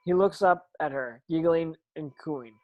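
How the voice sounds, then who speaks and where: A man narrates a screenplay at an amateur table read. His voice is on the high side and recorded dry. He reads haltingly and often stumbles over words. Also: no echo, lo-fi sound. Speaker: stage directions reader.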